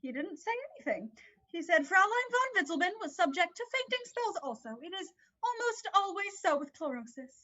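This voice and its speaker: A woman's voice: very high voice, big pitch swings, brisk, bright, forceful tone, dull audio, exaggerated voice. A woman playing an anguished, pleading mother in a dramatic reading of an old stage play. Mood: evasive, reassuring. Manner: emotional, imploring, repeats herself. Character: anguished, evasive, pleading mother of a teenage girl.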